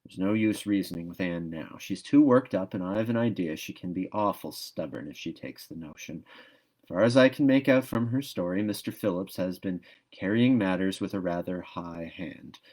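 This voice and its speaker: A man's voice: low-pitched, normal speed, darkish tone. A man voicing a shrewd older guardian woman in a storybook, curt, practical and folksy. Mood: pondering.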